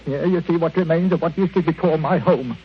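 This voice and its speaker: An actor playing a bitter, self-pitying elderly husband in a querulous, theatrical 1940s radio drama style. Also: male voice, a little high, fast talker, lightly acted. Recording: muffled, noisy vintage radio recording.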